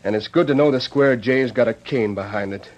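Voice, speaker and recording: An actor playing a mild older cowhand with a past, American accent, plain-spoken and hesitant, in an old radio western. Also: male voice, mid-range voice, fairly quick, highs cut off, natural voice. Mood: friendly, guarded. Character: mild older ex-convict cowhand, trying to avoid trouble.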